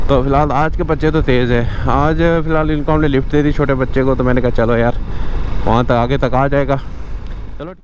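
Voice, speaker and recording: A man's voice: medium pitch, fairly even intonation, brisk, lo-fi sound. A young man chats casually and colloquially, repeating himself, as he vlogs outdoors from a motorbike. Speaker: motovlogger. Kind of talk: casual spoken vlog while riding a motorbike. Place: outdoors on a moving motorbike on the road. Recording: noisy outdoor ride with vehicle noise.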